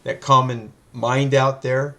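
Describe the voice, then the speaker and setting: A man's voice: medium pitch, level tone, slow pace, pushed and bright. Rambling male interview host. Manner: rambling, many fillers, joking. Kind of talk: casual interview chat.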